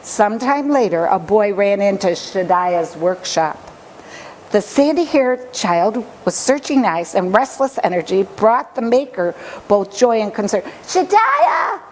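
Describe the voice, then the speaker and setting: A woman storyteller reading a children's picture book aloud with expressive, deliberate phrasing. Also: mid-range voice, slight background noise, rough recording.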